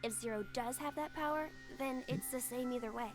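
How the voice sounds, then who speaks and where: A woman's voice: high-pitched, brisk, lightly acted. A woman playing an earnest young woman in a dramatic video game, reasoning and pleading in a deadly situation. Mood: serious, reasoning. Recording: game music behind the voice.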